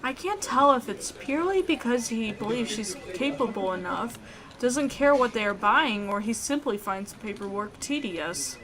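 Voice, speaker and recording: A woman reading a visual novel aloud in an expressive way, with reactions as a gaming YouTuber. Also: on the high side, normal speed, a crowd in the background.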